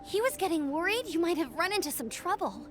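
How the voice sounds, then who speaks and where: Peppy young scout girl, very high, bouncy pitch, eager. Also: sing-song, brisk. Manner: upbeat, eager. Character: cheerful energetic young female scout. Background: game music.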